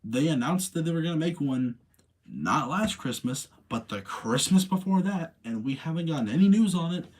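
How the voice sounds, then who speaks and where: A man hosting a livestream, talking casually in an informal, rambling, slangy way with a husky voice. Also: a little high, lively intonation, normal speed. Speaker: livestream host. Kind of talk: casual livestream chat and commentary.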